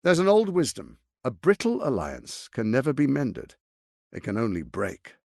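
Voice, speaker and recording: A male actor playing a suave, scheming, power-hungry lord in a video game, with a theatrical, measured, silky politician's delivery, ruthless and charming. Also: medium pitch, wildly swooping pitch, gravelly, a little mellow, no echo, clean studio sound, heavily acted. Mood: commanding, scheming. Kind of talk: dramatic video game voice acting.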